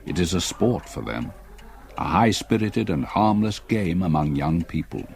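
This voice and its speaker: An actor playing a haunted, reticent older English stepfather in a radio drama, husky, speaking formally and with measured care. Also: sits low, lively intonation, a little mellow, heavily acted. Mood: brooding. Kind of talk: radio drama, first-person narration and dialogue.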